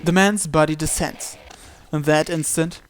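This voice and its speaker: High-voiced man reading a story aloud. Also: unhurried, slight background noise. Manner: expressive, first-person storytelling with occasional stumbles. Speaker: video game player reading a visual novel aloud. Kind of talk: dramatic read-aloud narration.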